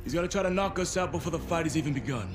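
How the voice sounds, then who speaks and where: An actor playing a commanding military officer who gives curt, authoritative orders. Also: male voice, a little high, very fast, over music, close to an everyday voice.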